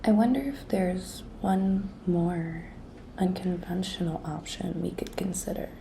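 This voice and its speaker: Slow, whispery, flirty office intern played by a woman. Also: fairly low pitch, mellow, a little hiss or hum. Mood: flirtatious, suggestive. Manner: breathy, coy, slow and deliberate, often dropping to a whisper. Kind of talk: whispered ASMR roleplay.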